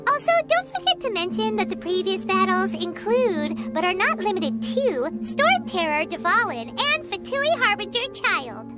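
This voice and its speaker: A woman's voice: extremely high pitch, very animated, moderate pace, hardly any pauses. A woman voicing a tiny, blunt, cheeky companion creature in a video game, with a sassy, teasing delivery. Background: game music.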